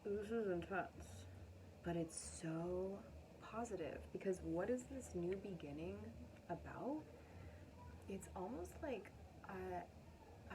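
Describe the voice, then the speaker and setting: Slow-talking woman tarot reader, noisy room. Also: on the low side. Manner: dramatic, drawn-out phrasing with pauses. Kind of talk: intuitive spoken card reading, casual and spontaneous.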